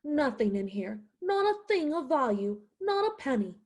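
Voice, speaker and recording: A woman's voice: sits high, big pitch swings, moderate pace, darkish tone. A woman playing a stubborn, indignant poor widowed mother in a theatrical radio play. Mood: urgent, bossy. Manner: indignant, insistent, dramatic.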